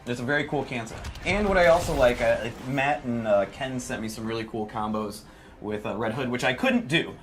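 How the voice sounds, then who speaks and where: A man's voice: medium pitch, fairly quick, slight background noise. An enthusiastic man presenting a video game demo in a casual commentary style. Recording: music playing behind the voice.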